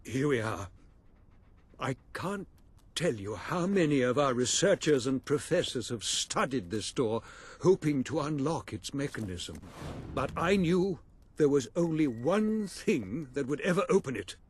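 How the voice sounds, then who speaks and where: An actor playing a bookish, excitable scholar in a video game, with an earnest, fussy and formal manner. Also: male voice, medium pitch, unhurried, slight background noise. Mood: awed, reflective. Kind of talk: dramatic video game cutscene acting.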